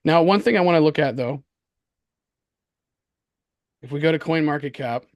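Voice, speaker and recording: A man with a hoarse voice co-hosts a casual market commentary show, talking in an excitable, slangy, repetitive way. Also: fairly high pitch, moderate pace, no room sound, highs cut off. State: hoarse from coughing. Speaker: crypto show co-host.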